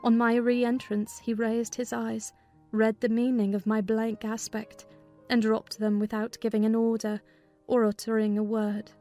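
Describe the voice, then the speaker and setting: A woman's voice: on the high side, monotone, unhurried, dark tone, faint music behind. A woman reading scripted audiobook narration of a classic novel.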